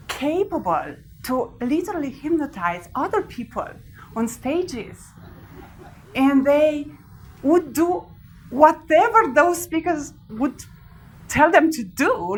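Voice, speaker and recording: A woman in her forties with a Romanian accent, giving a live talk to an audience with animated storytelling and frequent laughter. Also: high-pitched, slight background noise, low-quality recording. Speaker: speaker. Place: large event hall with an audience.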